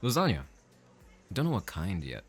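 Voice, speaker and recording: An easygoing, affectionate dad in an audio drama. He is chipper and teasing, with very animated inflection over faint music. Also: low voice, moderate pace, slightly rough, exaggerated voice. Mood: warm, playful.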